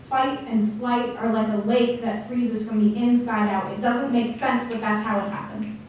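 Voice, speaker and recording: A woman performing slam poetry, speaking deliberately with dramatic phrasing and pauses. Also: medium pitch, a little mellow, distant mic. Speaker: slam poet. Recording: echoey room from afar, faint noise.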